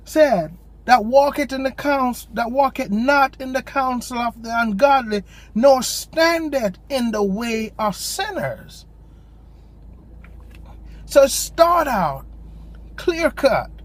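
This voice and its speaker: A lay preacher with a strong Jamaican accent gives an informal devotional talk from a parked car, pausing and restarting often and lifting the voice when reciting scripture. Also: high-pitched, animated. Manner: halting, with frequent pauses and restarts.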